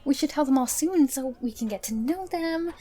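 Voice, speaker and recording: Woman playing a mellow, reasonable adventurer. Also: high voice, animated, normal speed. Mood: persuasive.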